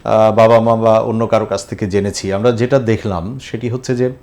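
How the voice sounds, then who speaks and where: A man with a fairly low voice and a Bangladeshi accent hosts an interview. He speaks deliberately, building long, reflective questions and pausing in the middle of his sentences. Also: steady tone, darkish tone. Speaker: talk show host.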